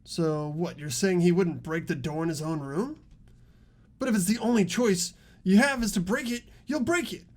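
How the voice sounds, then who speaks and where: A performer playing an earnest, argumentative high school student with animated questioning in a fan-voiced game. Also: moderate pace, close to an everyday voice. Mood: incredulous. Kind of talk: fan voice acting of a video game script.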